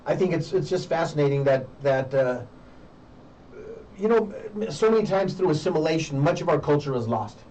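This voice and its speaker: A middle-aged American man speaking earnestly as an interview host, deliberate and emphatic, pausing within his phrases. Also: fairly high pitch, animated, darkish tone. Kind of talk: earnest conversational commentary. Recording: muffled, distant mic with faint noise.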